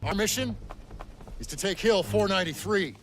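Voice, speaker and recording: An actor playing a stern, proud American army commander in a war game, addressing soldiers with authority. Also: male voice, high voice, moderate pace, fairly bright tone, a little hiss or hum. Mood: rousing. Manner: authoritative, scolding. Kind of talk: dramatic video game acting.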